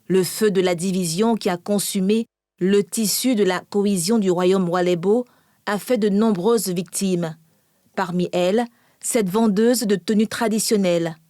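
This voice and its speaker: A woman's voice: mid-range voice, steady tone, a little slow, warm tone, no echo, studio quality. A woman reporter narrating a scripted television news report.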